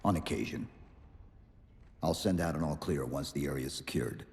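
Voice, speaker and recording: Very deep, stoic super-soldier. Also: male voice, moderate pace, a little mellow. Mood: calm, terse. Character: stoic armored male super-soldier, terse and resolute. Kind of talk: cinematic sci-fi game dialogue. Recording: music behind, large reverberant space.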